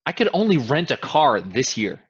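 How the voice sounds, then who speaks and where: An actor playing a cocky, domineering young man who brags about his riches in a staged play reading, with a husky voice. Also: mid-range voice, sing-song, moderate pace, dull audio. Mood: boastful. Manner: boastful, demanding. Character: cocky, domineering twenty-five-year-old man bragging of riches.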